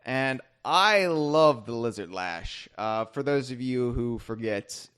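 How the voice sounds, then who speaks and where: A man's voice: medium pitch, lively intonation, slow pace, a bit bright, clear, resonant tone. A man hosting a podcast, chatting casually in a rambling, self-deprecating, joking way. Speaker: podcast host.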